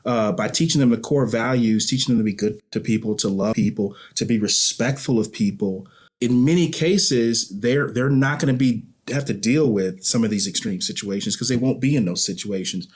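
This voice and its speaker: A man's voice: fairly low pitch, normal speed, slightly rough. A man speaking as an interview guest in a reflective, earnest conversation, often repeating and rephrasing as he goes.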